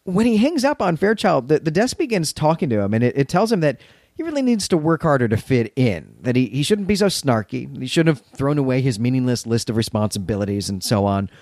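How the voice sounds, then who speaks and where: A man's voice: mid-range voice, sing-song, fairly quick, darkish tone, no room sound, studio quality. A man co-hosting a podcast, giving a conversational, wry recap and commentary on a story. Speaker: podcast co-host.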